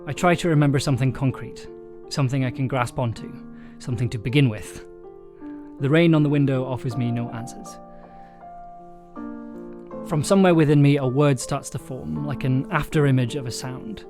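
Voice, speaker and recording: A man's voice: medium pitch, fairly even intonation, normal speed, darkish tone. A man livestreaming in a casual, hesitant way, thinking aloud and reading from his own story draft. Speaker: streamer and audio drama writer. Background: chill soundtrack music.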